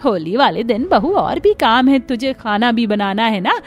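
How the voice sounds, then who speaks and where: A woman's voice: on the high side, expressive, normal speed. A woman playing a demanding, wealthy mother-in-law who gives instructions in a cartoon story. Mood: bossy. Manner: bossy, instructing. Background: music.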